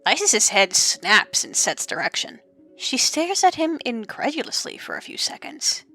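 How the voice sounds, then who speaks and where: Animated woman narrating an audio drama. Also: fairly high pitch, a little slow, faint music behind.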